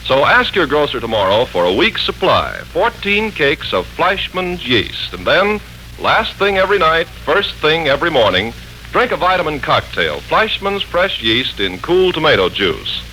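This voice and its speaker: A man's voice: on the high side, sing-song, normal speed, pushed and bright, a little hiss or hum, poor audio. An American man announcing in 1940s radio style, polished, persuasive and emphatic. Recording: old 1940s radio broadcast recording.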